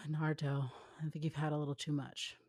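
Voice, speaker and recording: A woman as a deadpan, weary young male ninja. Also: low-pitched, brisk, no room sound, studio quality. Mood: weary concern. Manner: deadpan, grumbling, reluctant.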